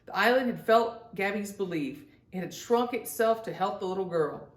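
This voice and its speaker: A woman reading a children's story aloud in an expressive storytelling style, speaking as if to young listeners. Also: mid-range voice, normal speed, echoey room. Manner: addresses young children directly, acts out dialogue. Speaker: children's storybook reader and host.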